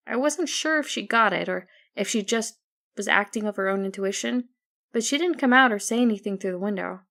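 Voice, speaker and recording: A woman's voice: medium pitch, gravelly, a bit bright. A woman narrating a first-person story as an audiobook reader.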